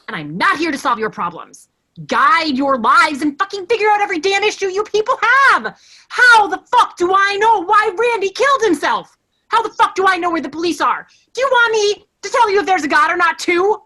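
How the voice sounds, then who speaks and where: Woman playing a defensive, high, sharp-voiced HR officer. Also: heavily acted. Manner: curt, defensive. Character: defensive, short-fused female human resources officer. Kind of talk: staged play reading.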